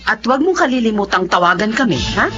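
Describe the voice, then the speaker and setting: A woman's voice: fairly high pitch, sing-song, quick pace, over music. A Filipino woman acting in a radio drama as a concerned, cautious middle-aged aunt who gives advice. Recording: dull lo-fi audio.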